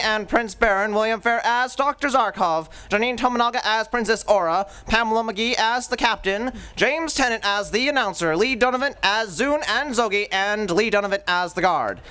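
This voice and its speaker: A man's voice: very high voice, bright, forceful tone, clean tone. A man hosting and announcing a live old-time radio show, with theatrical delivery.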